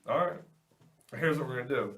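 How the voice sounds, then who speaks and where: A man telling magic show stories in casual podcast chat, lively and profane. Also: medium pitch, brisk. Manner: animated storyteller, swears often. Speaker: magician guest.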